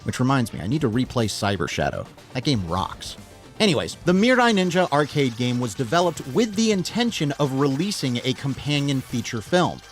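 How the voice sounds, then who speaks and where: A man with a North American accent hosting a scripted video essay, enthusiastic and wry, with self-deprecating joking asides. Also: mid-range voice. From United States. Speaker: host of a film video essay channel.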